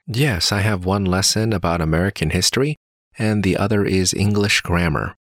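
A man reading lines of a scripted dialogue slowly and carefully, as in a listening test. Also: sits low, fairly bright tone, no echo, studio quality.

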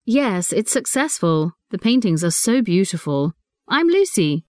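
A woman reading a scripted dialogue clearly and deliberately, as in a listening test. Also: mid-range voice, sing-song, darkish tone, no echo, studio quality.

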